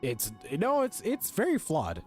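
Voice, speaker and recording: A man's voice: sits high, huge pitch swings, a little slow, a little mellow, faint music behind. A man streaming a game with casual, opinionated commentary, blunt and emphatic, repeating his points and swearing freely.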